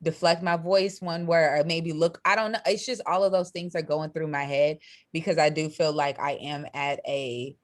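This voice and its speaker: A young woman co-hosting a podcast, speaking in a low, fairly monotone voice and thinking out loud. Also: moderate pace. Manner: says her voice is low and monotone, thoughtful with restarts. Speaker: podcast co-host. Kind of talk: casual podcast discussion.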